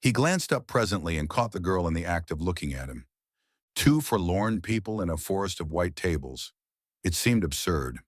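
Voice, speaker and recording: A man reads a 1920s pulp horror tale as narrator. His voice is low and moves through a wide range of intonation, in measured literary phrasing. The recording is dry and close. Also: very animated, breathy edge, no room sound.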